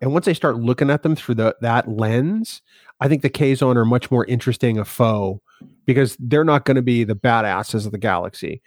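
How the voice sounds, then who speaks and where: A man's voice: medium pitch, normal speed, no room sound, studio quality. A man co-hosting a podcast in casual banter, teasing and sarcastic, often laughing. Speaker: podcast co-host. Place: at a convention.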